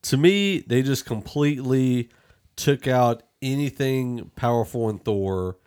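A man's voice: medium pitch, takes it slowly, a little mellow, smooth. A man hosting a podcast, talking through a film review in a rambling, conversational way. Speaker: podcast host. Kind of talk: casual movie review discussion.

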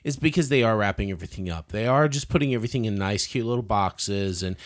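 A man's voice: on the low side, fast talker. A man giving a casual, opinionated film review as a podcaster, often stammering and repeating words as he starts.

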